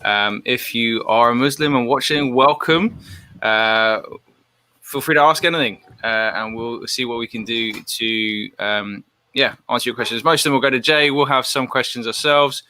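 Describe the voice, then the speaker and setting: A male host introducing a guest in a relaxed way, with a lot of fillers and hesitations, over a remote video call. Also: medium pitch, normal speed. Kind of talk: casual show introduction.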